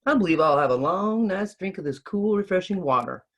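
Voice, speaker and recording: A man's voice: high-pitched, lively intonation, brisk, a bit bright, pitched above the performer's own voice. A man voicing ancient, wise trees in a storybook, earnest and reasonable. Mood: contented. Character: ancient, gentle, wise talking trees of the forest. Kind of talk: storybook read-aloud.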